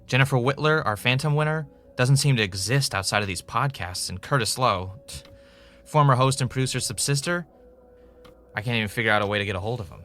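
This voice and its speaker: A man's voice: mid-range voice, fairly quick, fairly bright tone, soft background music, close to an everyday voice. A man playing an earnest, dogged podcaster investigating a mystery in a naturalistic audio drama, with a wry, self-dramatizing streak. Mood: frustrated, anxious. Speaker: podcast host in a scripted drama.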